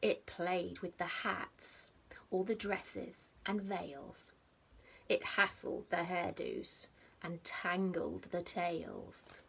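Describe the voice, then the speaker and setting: A woman reading a picture book aloud to young children like a teacher, expressively, with dramatic pauses and stressed rhymes and sound words. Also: lowish voice, creaky, a bit bright, slight background noise. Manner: simple explanatory asides addressed to young children. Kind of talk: animated storybook read-aloud.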